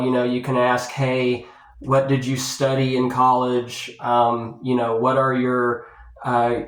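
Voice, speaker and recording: A man giving an unscripted advice monologue to viewers, speaking in a halting, repetitive, lecturing way. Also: mid-range voice. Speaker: video blogger giving dating advice. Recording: roomy, echoing sound.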